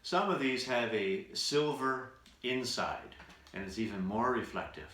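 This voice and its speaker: A man giving a casual unboxing commentary to camera at home, speaking in deliberate, piecemeal phrases. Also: medium pitch, expressive, pushed and bright. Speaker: vlogger, radio show performer. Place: room in his house. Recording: roomy echo, distant mic, faint noise.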